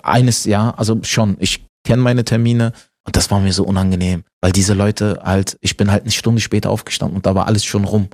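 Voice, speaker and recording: A man's voice: on the low side, fairly even intonation, fairly quick, darkish tone. Jokey man in casual conversation. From Berlin, Germany. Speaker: stand-up comedian, interview guest. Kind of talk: casual chat.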